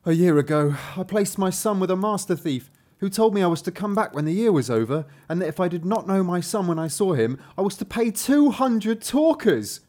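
Male reader as fretful storybook father, a peasant, earnest, pitch lifted. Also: lively intonation, brisk, few pauses, a little mellow. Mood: worried. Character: anxious peasant father in a fairy tale.

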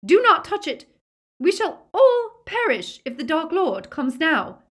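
A woman's voice: high voice, huge pitch swings, takes it slowly, pitched above the performer's own voice, full character voice. A woman voicing a haughty, fanatical villainous witch in an audiobook, theatrical, imperious and given to sneering and shrieking. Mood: shrieking panic. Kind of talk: audiobook character acting.

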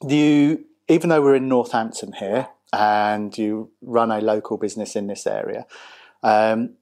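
A man hosting an interview, talking in a conversational, halting way with many restarts and fillers. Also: lowish voice, steady tone, a little mellow. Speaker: interview host.

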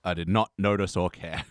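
A man's voice: sits low, animated, normal speed, no room sound. Man hosting a casual podcast, dry sound. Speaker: podcast host. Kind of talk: casual review chat about a TV cartoon.

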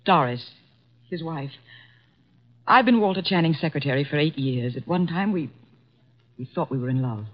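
A woman's voice: deep voice, expressive, normal speed, pauses often. An actress playing a distraught, hesitant female secretary in a 1950s radio drama. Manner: pleading, hesitant, emotional. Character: distraught young female secretary, tearful and defensive. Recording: dull old radio broadcast.

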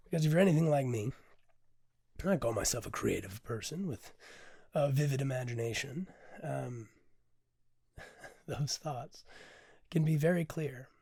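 A man with a hoarse, raspy voice hosts his own poetry podcast, reading and then talking openly with frequent ums and casual swearing. His pitch sits in an ordinary middle range. The sound is dry and close, with no room around it. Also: lively intonation, a little slow, pauses often, dark tone, no room sound. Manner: candid, rambling, swears casually, many "um"s. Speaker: podcast host and poet.

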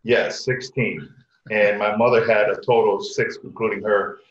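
A middle-aged man from Connecticut telling personal stories casually as a podcast guest, haltingly, often repeating words. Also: fairly low pitch, fairly even intonation. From Connecticut, United States. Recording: echoey room, dull lo-fi sound.

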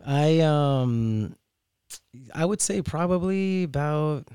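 A man in his thirties from Miami, born in Peru, talking casually and haltingly as an interview guest, often saying "you know". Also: on the high side, animated, dark tone, clear, resonant tone, no echo, clean studio sound. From Miami, United States, born in Peru. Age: 30s. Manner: informal, halting, thinks aloud with frequent "you know". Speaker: interview guest, restaurant owner.

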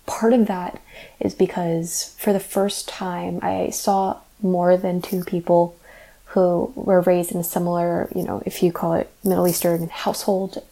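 A young woman who grew up in the United States, a college student telling her own story in a measured, thoughtful way. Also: medium pitch, creaky, warm tone, poor audio. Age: young adult. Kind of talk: reflective personal narration.

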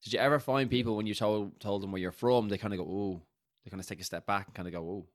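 Low-voiced Dublin podcast host. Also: male voice, steady tone, fast talker, dry recording, clean studio sound. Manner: chatty, loose, friendly asides. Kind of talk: casual interview chat.

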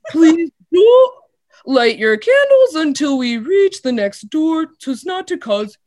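A woman imitating a male cave tour guide who speaks English with an odd, melodic, slow cadence. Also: sits high, sing-song, darkish tone, pitched above the performer's own voice, heavily acted. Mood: solemn, sing-song. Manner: slow, lilting, oddly melodic phrasing. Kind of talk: impression within a casual podcast chat.

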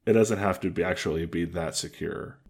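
A man co-hosting a podcast in casual comedic chat, dry and joking, with a deep voice. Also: fairly quick, darkish tone, husky. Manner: dry, joking, riffs on bad movies. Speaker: podcast co-host.

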